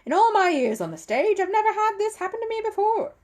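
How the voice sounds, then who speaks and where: A theatrical male stage magician acted out by a woman reading a storybook, sarcastic and short-tempered. The voice is pushed high and swings widely. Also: very animated, fast, a little mellow. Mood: exasperated, sarcastic.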